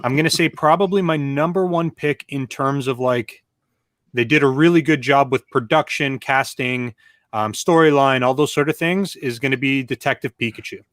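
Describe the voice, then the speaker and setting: A man's voice: medium pitch, moderate pace, a bit bright, no room sound, clean studio sound. A man on a panel chatting casually and giving his opinions in a conversational way.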